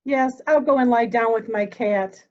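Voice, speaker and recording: A woman's voice: a little high, normal speed, lo-fi sound, close to an everyday voice. A woman playing a weary, remorseful member of a household in an amateur mystery play. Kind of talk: amateur murder mystery radio play acting.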